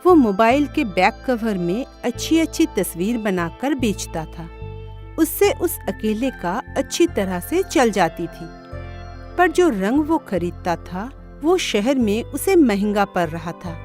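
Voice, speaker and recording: A woman's voice: medium pitch, very animated, slow pace, a little mellow. A woman narrating a scripted cartoon moral story. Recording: music behind the voice.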